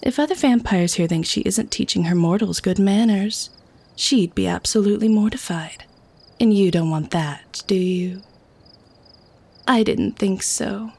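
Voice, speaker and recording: A woman's voice: medium pitch, unhurried, mellow, slightly rough. A woman playing a sly, teasing, predatory female vampire, speaking with genteel mock sweetness in an audio roleplay. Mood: quietly menacing. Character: sly, teasing, predatory lady vampire feigning harmless friendliness. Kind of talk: scripted ASMR audio roleplay.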